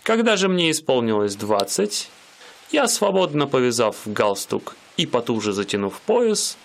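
A man's voice: mid-range voice, very animated, measured pace, gravelly, a little hiss or hum. A young man playing an aspiring painter in a radio play, speaking in an ironic first-person tone. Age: young adult.

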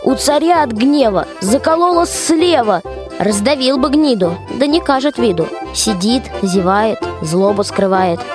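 A woman's voice: a little high, lively intonation, a little slow, music in the background. A woman narrating a fairy tale in folksy rhymed verse for a radio play.

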